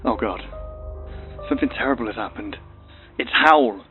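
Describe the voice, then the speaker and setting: A man playing a panicked witness in a found-footage horror story, halting and alarmed. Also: mid-range voice, natural voice. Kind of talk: horror audio acting. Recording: muffled lo-fi horror audio, faint music. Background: music.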